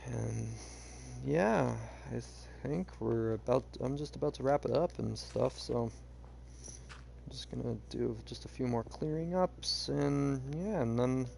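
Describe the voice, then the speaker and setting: A young man chatting casually while he plays a game, rambling with lots of fillers, his voice a bit hoarse. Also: mid-range voice, unhurried, darkish tone, slight background noise. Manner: rambling, hesitant, many fillers. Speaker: streamer. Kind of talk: casual gameplay chat.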